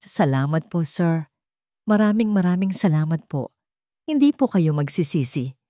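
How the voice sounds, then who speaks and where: A woman's voice: low voice, animated, normal speed, no echo, studio quality. A woman voicing a humble young maid who speaks politely, shy yet determined. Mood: grateful. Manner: polite, softly pleading.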